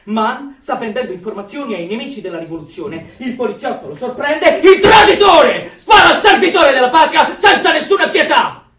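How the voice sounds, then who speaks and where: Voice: high-pitched, animated, normal speed, a bit bright, a little hiss or hum. An actor playing a pompous, fervent Party zealot in a radio drama, with a theatrical, declamatory delivery. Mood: impassioned. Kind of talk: Italian radio drama acting. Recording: roomy, distant stage-like sound.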